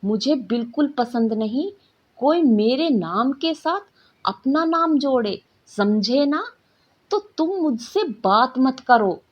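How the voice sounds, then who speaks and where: A woman's voice: sits high, expressive, slow pace, highs cut off, heavily acted. A woman voicing an innocent but firm young girl who studies magic in a storybook tale. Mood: firm, annoyed.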